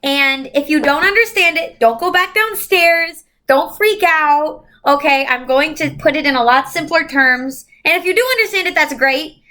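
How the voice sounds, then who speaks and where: A woman reading a children's novel aloud and pausing to explain it simply to young listeners. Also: sits high, sharp tone. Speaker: volunteer reader for a children's club.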